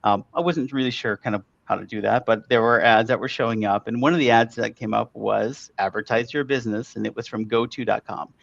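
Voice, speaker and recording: A middle-aged man from Chile, a marketing expert, telling his story in a relaxed way with fillers. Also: fairly low pitch, fairly quick, gravelly, hardly any pauses, dry recording, muffled recording. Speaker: guest, digital marketing expert. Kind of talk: casual interview storytelling.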